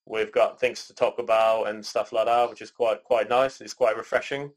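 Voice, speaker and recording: A man raised in Canada, co-hosting a podcast in relaxed casual chat, rambling with lots of "you know" and "sort of.". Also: fairly low pitch, normal speed. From born in Poland, raised in Canada. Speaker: podcast co-host.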